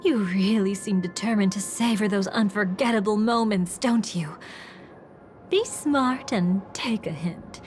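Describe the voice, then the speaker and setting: Slow, sly teasing game villainess voiced by an actress. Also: female voice, mid-range voice, darkish tone, faint music behind, lightly acted. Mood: mocking. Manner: languid, mocking, drawn-out taunts. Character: sly, teasing memory-manipulating cremator woman. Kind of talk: video game voice acting.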